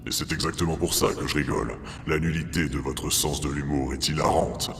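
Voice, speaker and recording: A man playing a huge, centuries-old monster guardian in an audio drama, speaking in a formal, measured, solemn way. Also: very deep voice, steady tone, a bit bright, a little hiss or hum. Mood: formal, composed.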